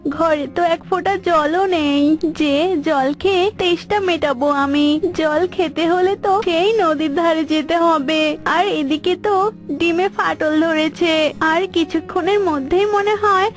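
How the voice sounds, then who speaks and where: A woman's voice: very high voice, slow, soft background music, pitched above the performer's own voice. A woman voicing a plaintive, dramatic mother bird in a cartoon folk tale. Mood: anxious. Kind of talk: cartoon voice acting.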